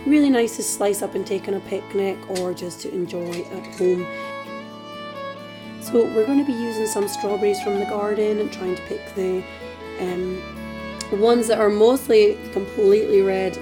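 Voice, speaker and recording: A Scottish woman hosting a cooking tutorial in a chatty, relaxed way, her voice a little hoarse from a dry throat. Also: medium pitch, normal speed, over music. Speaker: cooking channel host. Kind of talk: casual cooking tutorial voice-over.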